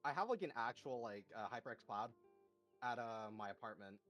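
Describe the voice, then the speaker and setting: Brief: animated, dry-humored guy on a headset mic, faint music under him. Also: medium pitch, moderate pace. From North America. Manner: dry, joking, animated storyteller. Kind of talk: casual chat. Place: parents' house.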